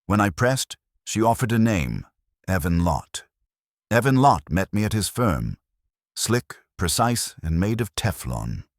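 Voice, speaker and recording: An extremely deep-voiced man narrates detective fiction with a wry, hard-boiled touch. His pitch moves in a lively way from phrase to phrase. The sound is very dry, as an audiobook reading. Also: no echo. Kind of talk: scripted first-person detective story narration.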